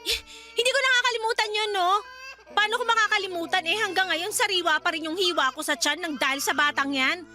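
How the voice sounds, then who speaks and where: A woman's voice: extremely high pitch, quick pace, bright, forceful tone, faint music behind, full character voice. An actress playing a bitter, defiant young mother in a Filipino radio drama, snappy and sarcastic, with a Filipino accent. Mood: bitter, resentful.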